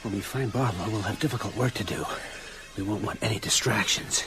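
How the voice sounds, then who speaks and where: Actor as a grave, low-voiced priest. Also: male voice, fairly quick, over music, lightly acted. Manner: grave, solemn. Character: solemn, devout male priest hunting evil. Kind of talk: radio drama acting.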